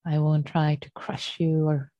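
A woman teaching a guided meditation in a gentle, unhurried manner, leaving long pauses between short phrases. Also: sits low, level tone. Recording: muffled, roomy sound.

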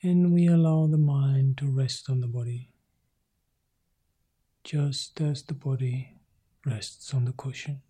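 A male monk guiding a meditation in soft, short phrases that often sink into a whisper. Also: medium pitch, steady tone, takes it slowly, long pauses, slightly rough. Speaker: Buddhist monk leading meditation. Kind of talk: guided meditation.

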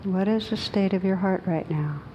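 A woman teaching meditation, guiding a group in a gentle, unhurried manner with long pauses. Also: lo-fi sound. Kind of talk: guided meditation led for a group. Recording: clearly noisy with low rumble.

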